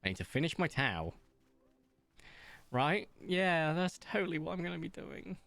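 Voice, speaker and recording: A man streaming from home, chatting casually in a rambling, self-mocking way and pausing often. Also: fairly high pitch, very animated, vocal fry, a bit bright, dry recording. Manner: rambling, self-mocking, hesitant with many ums. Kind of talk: casual chat reading viewer comments while playing.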